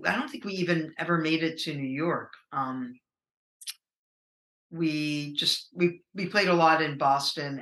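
A middle-aged American woman with a low voice, a musician talking casually in an interview, rambling and hesitant with many fillers. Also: fairly bright tone, roomy sound, rough recording. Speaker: musician guest.

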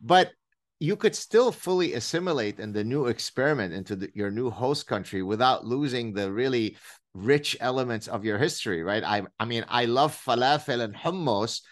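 A man's voice: medium pitch, expressive, moderate pace. A middle-aged man from Lebanon, a native Arabic speaker, hosting a casual interview in a reflective way, restarting his phrases and building to playful emphasis. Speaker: podcast host.

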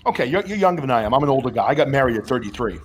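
A man's voice: mid-range voice, lively intonation, very fast. A man in his fifties hosting a talk show, upbeat and earnest, joking now and then. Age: 50s. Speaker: radio show host. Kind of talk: casual talk show chat.

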